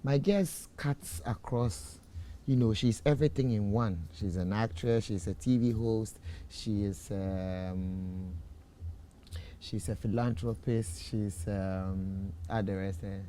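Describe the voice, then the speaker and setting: A man's voice: fairly low pitch, takes it slowly, warm tone, a little hiss or hum. A Ghanaian man hosting a late-night TV talk show, playful and teasing as he chats with his guest. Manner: playful, teasing, joking, reads out ad copy.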